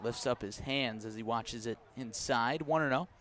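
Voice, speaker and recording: An American man doing live radio baseball play-by-play from a ballpark, slipping between pitch calls and conversational asides. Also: normal speed, a bit bright, a faint crowd. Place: outdoors at a ballpark.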